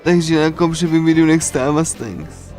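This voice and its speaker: Halting male game streamer, slightly high voice. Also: a little mellow. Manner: halting, pieced-together delivery. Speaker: streamer reading a visual novel aloud. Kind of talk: casual live read-aloud and translation of a visual novel. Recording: music behind the voice. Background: game music.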